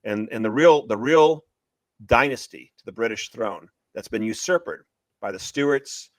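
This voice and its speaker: A man talking as an interviewed author and screenwriter, retelling a story in an excitable, emphatic, repetitive way. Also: mid-range voice, a little slow, no echo. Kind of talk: animated promotional interview talk, retelling a story.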